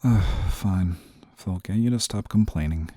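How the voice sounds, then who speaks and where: A stern, dutiful male guardian angel, acted by a man in an intimate audio roleplay. His voice is low, soft-toned and husky. He speaks formally, with a prim reserve that easily turns flustered. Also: measured pace, no echo, clean studio sound. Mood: reluctant, flustered. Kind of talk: scripted audio roleplay, intimate one-sided dialogue.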